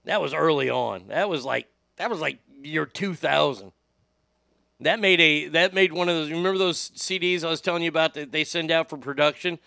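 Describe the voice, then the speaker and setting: A middle-aged man with a high voice that lacks bass, telling profane, animated stories as a radio host. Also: normal speed, gravelly, no room sound, studio quality. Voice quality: high voice without much bass. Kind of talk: casual storytelling podcast.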